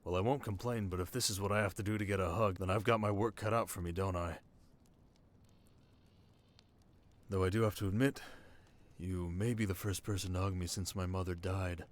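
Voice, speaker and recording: An actor playing a sharp, haunted young king in an intimate ASMR romance roleplay, talking wryly and dramatically to his listener. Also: low voice, steady tone, quick pace, lightly acted. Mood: tender. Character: young desert king, sharp, wry, haunted, teasingly tender.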